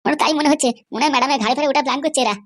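A man voicing a bold, nosy young village lad in a comic cartoon, blunt and suspicious, with a squeaky pitched-up voice. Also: racing, a bit bright.

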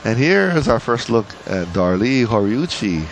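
A man calls live bowling. His pitch moves in a lively way and his manner is jovial. The noise of a bowling alley is clearly present behind him. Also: normal speed. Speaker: bowling commentator. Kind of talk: live sports commentary with some sponsor reads. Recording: noisy bowling alley broadcast.

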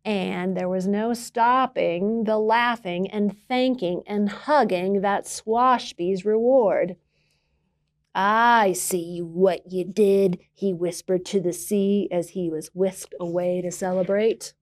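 A woman reading a children's picture book aloud as a library storyteller, expressively, with emphasis and dramatic pauses. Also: medium pitch, fairly even intonation.

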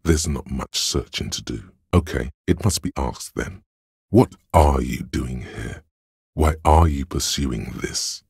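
A man voicing the cold, dry inner logic of a detective in a video game, measured and analytical, with a gravelly, husky delivery. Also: a bass voice, darkish tone, no room sound, exaggerated voice. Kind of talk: scripted video game voice acting, second-person narration.

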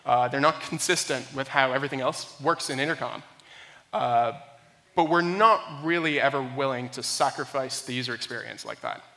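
Bright-voiced man presenting in a reverberant hall. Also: medium pitch, normal speed. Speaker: software engineer, conference speaker. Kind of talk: prepared conference talk on technology. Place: indoor conference room, speaking on stage.

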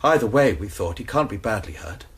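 A man's voice: lowish voice, very animated, fairly quick, a bit bright, slightly rough, heavily acted. An actor playing an anxious young male student, telling his story in a rambling, worried way in a radio drama. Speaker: student suspect. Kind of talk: BBC radio drama acting.

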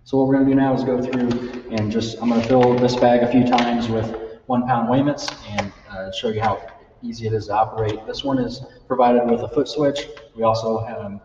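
A young man giving a casual live product demo, halting with many ums and uhs. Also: medium pitch, fairly even intonation, dark tone, slight background noise. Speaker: product demonstrator. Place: large trade-show style hall, indoors. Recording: distant echoey hall, dull sound.

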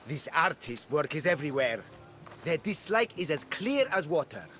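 A man's voice: a little high, very animated, moderate pace, fairly bright tone. A middle-aged man playing an earnest, cautious ancient Greek street vendor in a video game, speaking in a cautioning manner. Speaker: non-player game character. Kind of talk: scripted game dialogue.

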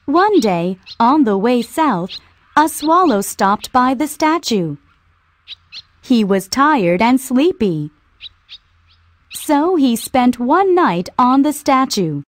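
A woman's voice: high voice, very animated, takes it slowly, no room sound. A woman narrating a scripted children's story in simple, carefully phrased sentences.

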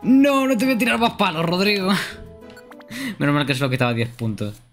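A man's voice: high-pitched, sing-song, a little fast. A young man giving casual live gameplay commentary as a streamer. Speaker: game streamer. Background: loud game music.

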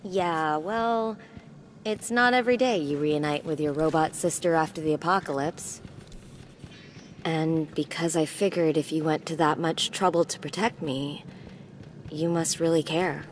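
Plucky, low-voiced loyal sister. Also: female voice, unhurried, a little hiss or hum. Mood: warm, wry. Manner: wry, sincere, direct. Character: plucky, loyal younger sister, defiant human adventurer. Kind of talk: dramatic video game acting.

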